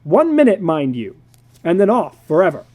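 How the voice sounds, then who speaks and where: A stern husband and father in a dramatic story, acted out by the narrator. He is exasperated and commanding, hitting words hard. His pitch moves widely over a soft, unsharp tone. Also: big pitch swings, moderate pace. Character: fed-up, stern husband and father, adult man.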